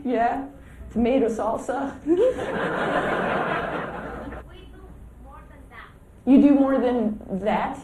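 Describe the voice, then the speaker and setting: Woman stand-up comic, first language Italian, teasing banter; high voice, slow delivery, distant roomy club mic, loud crowd. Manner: teasing, laughing banter with audience. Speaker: stand-up comedian. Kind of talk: crowd work comedy. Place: comedy club stage.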